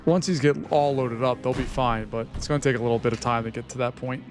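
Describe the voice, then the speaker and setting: A man with a hoarse, fading voice giving casual commentary on his own strategy game, chatting to his units as he plays. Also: mid-range voice, fairly even intonation, quick pace, soft background music. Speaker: gaming channel host.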